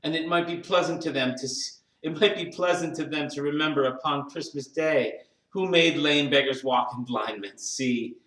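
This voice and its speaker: Man voicing meek, warm-hearted father in echoey room. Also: fairly high pitch, normal speed, few pauses, voice pushed up, close to an everyday voice. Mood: tender, proud. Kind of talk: dramatised storybook reading. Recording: roomy, distant microphone.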